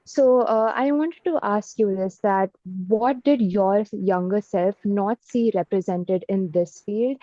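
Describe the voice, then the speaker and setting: A woman's voice: medium pitch, takes it slowly, few pauses, mellow, no room sound. A woman co-hosting a podcast, asking long, rambling questions full of fillers. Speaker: podcast co-host. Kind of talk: interview questions. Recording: dull dry lo-fi sound.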